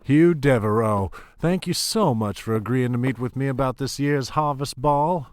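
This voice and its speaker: A man's voice: mid-range voice, normal speed, darkish tone, close to an everyday voice. A man playing a smug, scheming oil baron in an audio drama, silky, condescending and mock-courteous. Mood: silky blackmail.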